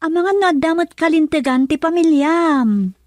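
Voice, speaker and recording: High-voiced, concerned Filipina confidante. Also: female voice, unhurried, darkish tone, no room sound. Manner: earnest, advising. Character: concerned female confidante counselling her lovelorn friend. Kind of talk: Ilocano radio drama acting.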